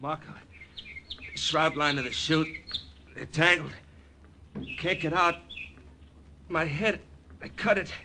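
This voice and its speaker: An actor playing a terse, slangy American pilot, a stoic comrade in danger, in an old radio war drama. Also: male voice, on the high side, slow, pauses often. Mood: strained pleading. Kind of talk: 1940s-50s radio drama acting. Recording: slightly noisy old-time radio recording.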